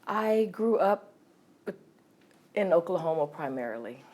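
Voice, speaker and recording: A middle-aged woman from California telling her life story as an interview guest, hesitant with many ums and soft laughs. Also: lowish voice, animated, measured pace. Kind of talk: candid personal storytelling.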